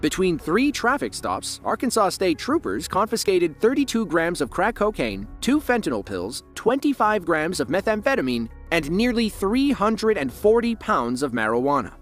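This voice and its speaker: Lively male documentary narrator. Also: high-pitched, normal speed, hardly any pauses, faint music behind.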